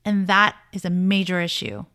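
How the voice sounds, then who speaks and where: A woman's voice: fairly low pitch, animated, normal speed, sharp tone. A woman speaking as a dating coach, giving conversational relationship commentary in an emphatic, opinionated and blunt way. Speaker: dating coach and show host.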